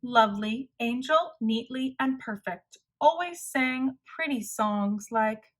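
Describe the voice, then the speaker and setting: A woman reading a children's story aloud as narrator, expressive and deliberate. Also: on the high side.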